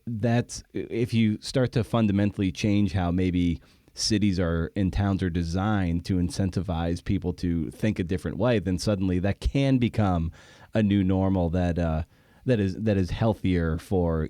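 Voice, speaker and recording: A man hosts a conversational podcast in a low, very mellow voice. He thinks aloud in halting, winding sentences. The recording is close and dry. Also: steady tone, dry recording. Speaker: podcast host and interviewer. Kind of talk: casual interview conversation.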